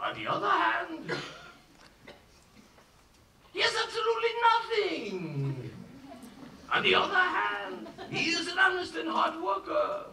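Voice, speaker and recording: An actor playing a domineering but soft-hearted old village father, exclamatory and sarcastic, in a musical film. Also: extremely high pitch, very animated, unhurried, a little hiss or hum, exaggerated voice. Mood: pondering. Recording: echoey film soundtrack, distant, music behind.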